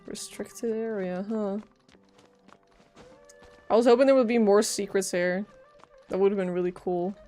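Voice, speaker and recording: Chatty woman streamer, medium pitch. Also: fairly even intonation, unhurried, pauses often, mellow, soft background music. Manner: informal, chatty reactions, swears. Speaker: live streamer playing a game. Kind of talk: casual game stream commentary.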